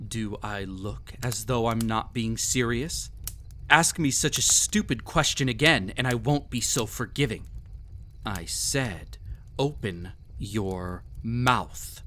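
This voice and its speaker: Man playing a slow, silky, cruel emperor. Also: medium pitch, bright, forceful tone, slight background noise. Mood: stern, threatening. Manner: haughty, silky, condescending, theatrically drawn-out delivery. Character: cruel, possessive, mocking young emperor pampering a captive pet. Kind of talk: scripted roleplay audio drama, addressed to listener.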